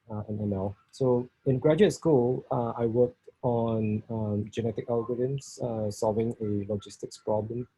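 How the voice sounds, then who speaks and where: A middle-aged man from Singapore with a Singaporean accent, giving a talk over a video call, halting with many fillers and restarts. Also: mid-range voice, warm tone, husky, no room sound, rough recording. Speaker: guest speaker.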